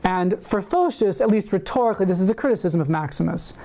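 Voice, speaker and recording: A man giving a university lecture, reading a prepared paper in a measured, deliberate way with occasional fillers. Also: high voice, expressive, warm tone. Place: university seminar room.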